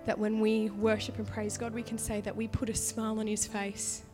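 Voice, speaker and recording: A woman's voice: mid-range voice, completely flat delivery, normal speed, music in the background. Woman preaching while losing her voice. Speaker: worship leader giving a sermon. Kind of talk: sermon with prayer. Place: church.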